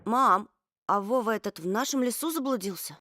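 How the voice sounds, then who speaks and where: A woman's voice: a little high, a little fast, sharp tone. A woman voicing a timid, pleading young schoolboy in a visual novel. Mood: curious, casual. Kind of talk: voice acting in a Russian visual novel. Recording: echoey, reverberant game audio. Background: game music.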